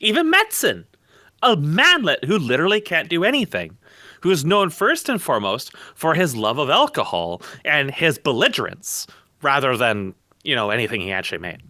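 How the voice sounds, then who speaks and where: A man's voice: medium pitch, bright, forceful tone, no echo. A man giving opinionated podcast commentary on gaming in a blunt, scornful, emphatic way.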